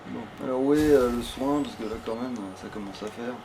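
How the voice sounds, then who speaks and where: A man's voice: medium pitch, brisk. A man chatting casually as he plays a video game, thinking aloud with slang and swearing. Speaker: video game let's player at the controls. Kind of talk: casual gaming chat, commentary while playing. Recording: steady background noise, amateur gameplay recording.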